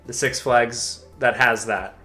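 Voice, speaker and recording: Young adult man, bright tone, relaxed stream banter over faint music. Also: mid-range voice, takes it slowly. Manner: relaxed, chatty, laughs through his stories. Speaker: streaming artist. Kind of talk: casual chat while drawing on stream.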